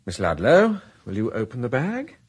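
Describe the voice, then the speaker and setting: A composed, husky lord. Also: male voice, medium pitch, huge pitch swings. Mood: formal. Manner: formal, deliberate. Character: Lord Clanroyden, a composed aristocratic negotiator.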